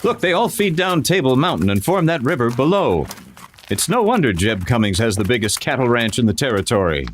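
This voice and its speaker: A man's voice: medium pitch, big pitch swings, brisk, slight background noise, close to an everyday voice. A man playing an earnest, commanding American masked hero in a radio western. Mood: observant, resolute. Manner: earnest, authoritative.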